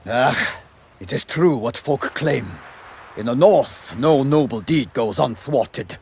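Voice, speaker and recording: Chivalrous knight, fantasy game, husky voice, formal and pompous, idealistic. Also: male voice, medium pitch, expressive, slow pace, darkish tone, heavily acted. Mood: solemn, courteous. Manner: formal, pompous, florid wording. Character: noble, chivalrous, idealistic male knight-errant. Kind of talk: fantasy video game acting.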